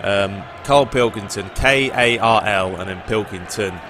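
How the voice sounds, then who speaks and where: A man's voice: fairly low pitch, measured pace, noisy background. A man hosting a gaming video, chatting informally and giving his opinions as he answers questions in a casual commentary style.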